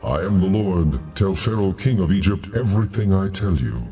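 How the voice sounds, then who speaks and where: A very deep, solemn divine male voice, declaring and commanding with stately authority in a scripture audio drama. Music plays clearly behind the words. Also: animated, moderate pace, mellow, heavily acted.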